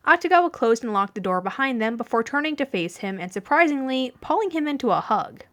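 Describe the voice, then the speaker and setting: A woman's voice: a little high, a bit bright. Woman, podfic narrator, fan fiction storytelling, audiobook-style reading, acted dialogue.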